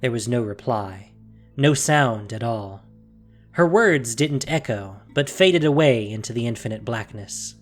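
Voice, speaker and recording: A man narrating a dramatic fantasy story solemnly, with deliberate pauses, over a music bed. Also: mid-range voice, expressive.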